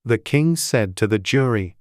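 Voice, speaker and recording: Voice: medium pitch, animated, measured pace, darkish tone, dry recording, clean studio sound. An audiobook narrator reading a scripted storybook.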